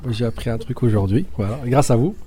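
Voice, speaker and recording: A man from Guadeloupe answering a street interview in a relaxed, joking way. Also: mid-range voice, a little fast, a little hiss or hum. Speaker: passer-by.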